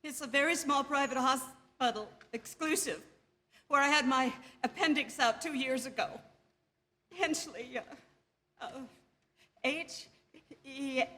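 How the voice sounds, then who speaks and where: Frantic high-voiced invalid wife. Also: female voice, a little slow, long pauses, bright, forceful tone. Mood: frantic impatience. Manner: dramatic, pleading, imperious. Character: anxious, demanding, self-pitying invalid wife spiraling into hysteria. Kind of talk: theatrical radio drama acting.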